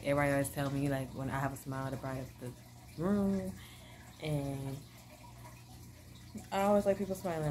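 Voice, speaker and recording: Low-voiced woman chatting to camera. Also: background noise. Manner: bubbly, chatty, rambling with many fillers. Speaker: vlogger. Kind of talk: casual chat to camera. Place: small living room at home.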